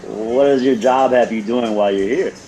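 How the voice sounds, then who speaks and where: A man's voice: mid-range voice, moderate pace, dark tone, lightly acted. A man acting in an audio drama as a friendly paralegal on a coffee date, easygoing and conversational. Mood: friendly, interested.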